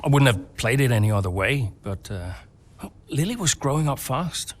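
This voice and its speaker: A man's voice: medium pitch, lively intonation, moderate pace, close to an everyday voice. An actor playing a retired racing driver and father, speaking candidly and hesitantly, as if interviewed in a game cutscene. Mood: regretful, candid.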